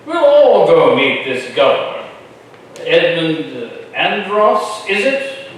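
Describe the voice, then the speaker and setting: Man playing a pompous, high-voiced colonial magistrate. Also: big pitch swings, takes it slowly. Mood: self-important. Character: pompous chief magistrate, stumbling, self-important male colonial leader. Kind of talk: staged reading of a historical play. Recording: echoey room, far from mic, faint noise.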